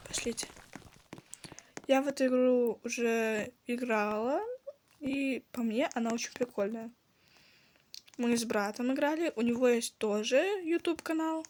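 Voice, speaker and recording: A hoarse woman chatting casually as she plays a video game for her channel, exclaiming when things go wrong. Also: a little high, a little slow, pauses often, darkish tone, slight background noise. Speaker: gaming channel host.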